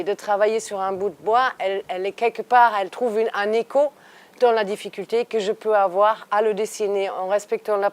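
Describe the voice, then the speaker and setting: German-born woman telling stories animatedly. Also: mid-range voice. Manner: thoughtful, animated storytelling with many restarts. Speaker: artist guest. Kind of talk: interview conversation.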